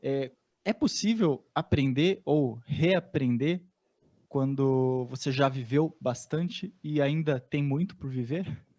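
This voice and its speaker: A male podcast host speaks at a mid pitch, engaged and curious as he responds to his guest and draws her out with questions. The recording sounds a little muffled and dull. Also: slow, mellow. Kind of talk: podcast interview.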